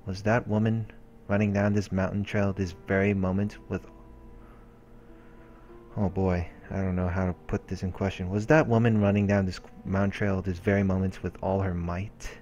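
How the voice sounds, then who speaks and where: Low-voiced man reading story narration over music. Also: flat delivery, normal speed, a little mellow, muffled recording. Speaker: let's play host. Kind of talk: reading game narration aloud, storytelling delivery. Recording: music under the voice. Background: game background music.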